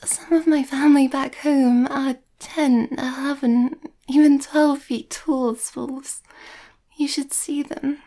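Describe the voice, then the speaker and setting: Woman acting a shy, high-voiced werewolf girlfriend. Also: steady tone, takes it slowly, mellow. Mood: bashful, playful. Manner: hesitant, breathy, often near whisper, nervous. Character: shy, overthinking, sweet young female werewolf girlfriend. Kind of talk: soft-spoken ASMR girlfriend roleplay.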